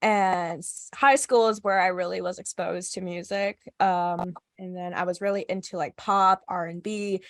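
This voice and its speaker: A woman's voice: on the low side, fairly even intonation, moderate pace, few pauses, dry recording. A young woman co-hosting a casual podcast, stuffy from a cold, chatting nervously with frequent laughs. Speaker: podcast co-host.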